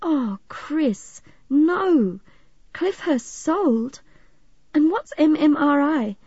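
Warm, eager teen girl played by a female narrator, high and animated, concerned, audiobook. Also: unhurried, dry recording, highs cut off. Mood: dismayed. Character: warm, excitable, sympathetic teenage girl.

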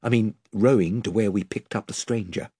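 A man's voice: lowish voice, normal speed, slightly rough, dry recording, clean studio sound, pitched below the performer's own voice. A man voicing a young male king commanding his ship's crew. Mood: hushed. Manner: urgent commands, then hushed.

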